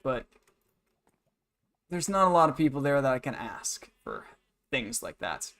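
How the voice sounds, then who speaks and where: A young man in his twenties talks off the cuff to his livestream. His voice sits at an average pitch. He rambles and grumbles in a blunt, matter-of-fact way, like casual venting after a day of work. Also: sing-song, unhurried, a bit bright. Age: 20s. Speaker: game livestreamer.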